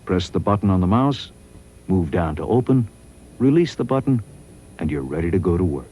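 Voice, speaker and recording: A man reading scripted promotional training narration in a measured, instructional way. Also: low-pitched, sing-song, mellow, a little hiss or hum.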